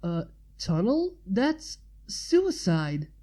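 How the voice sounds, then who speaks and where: A woman's voice: medium pitch, big pitch swings, drawn-out words, dark tone, heavily acted. A woman voicing a hunted, distressed male prince in a fantasy story, hesitant and stammering. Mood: alarmed.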